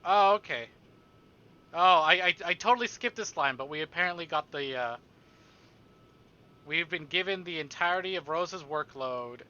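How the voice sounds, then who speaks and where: A man's voice: on the high side, lively intonation, moderate pace, pauses often, bright, forceful tone, smooth, muffled recording. A man livestreaming a visual novel, reading it aloud dramatically and adding casual joking asides.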